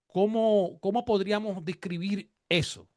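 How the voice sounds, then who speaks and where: A man hosting a conversational religious interview, speaking haltingly and restating his phrases and questions as he goes. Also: high-pitched, steady tone, no room sound, clean studio sound. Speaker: interview host.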